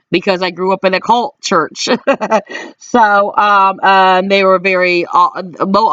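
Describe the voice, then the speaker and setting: Middle-aged woman, aggrieved, stop-start delivery, smooth voice, dry close lo-fi sound. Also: fairly low pitch, a bit bright, no room sound. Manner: halting and aggrieved, many fillers and restarts. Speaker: complainant recounting calls to police. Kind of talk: personal recorded monologue. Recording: dull lo-fi dry recording.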